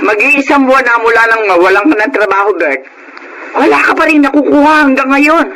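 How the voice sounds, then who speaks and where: A stern grandmother who scolds her son-in-law. Her voice is strikingly high. The performance is radio drama, with clear noise behind it. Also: animated, a little fast. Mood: scolding. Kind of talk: Filipino radio drama acting.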